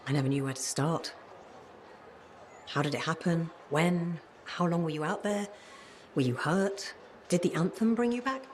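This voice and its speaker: A woman's voice: on the low side, normal speed, long pauses, lightly acted. Game role: frank, loving elderly mother played by a woman, wistful and fretful, light noise behind. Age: older. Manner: wistful, gently chiding. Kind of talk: video game voice acting.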